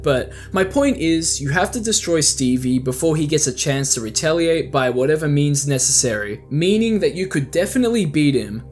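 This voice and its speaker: A man hosting a casual scripted commentary video, talking conversationally with jokey asides. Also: a little high. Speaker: video host. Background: background music.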